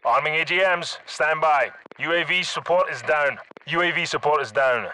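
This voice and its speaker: An actor playing a tough, commanding Scottish special forces soldier who gives clipped military callouts in a shooter video game. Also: male voice, on the high side, normal speed, bright, forceful tone, rough recording. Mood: businesslike, terse. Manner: clipped, terse radio-style military callouts. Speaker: video game squad leader.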